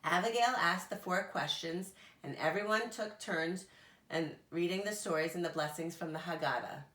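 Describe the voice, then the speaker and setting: A woman, a nursery school teacher, reading a children's storybook aloud with expressive, animated delivery. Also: fairly low pitch, normal speed, roomy sound.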